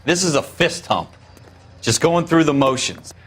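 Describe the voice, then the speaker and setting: A man's voice: on the high side, lively intonation, measured pace, breathy edge. A man playing a jaded patrol cop in a detective game, complaining in an informal way. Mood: grumbling. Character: grumbling, jaded male uniformed patrol cop.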